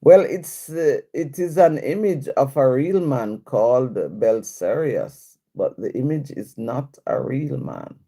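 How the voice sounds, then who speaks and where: Woman streamer, chatty, answers comments, unhurried delivery with lots of swing in pitch. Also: expressive, warm tone, slightly rough. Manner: chatty, answers comments aloud, laughs often. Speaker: livestream host reading viewer comments. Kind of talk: casual live-stream chat.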